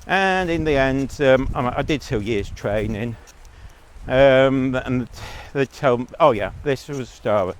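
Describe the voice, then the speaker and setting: A man's voice: mid-range voice, lively intonation, unhurried, a little hiss or hum. Rambling man talking on a walk. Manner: rambling, digressive, blunt. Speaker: vlogger. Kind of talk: casual rambling monologue.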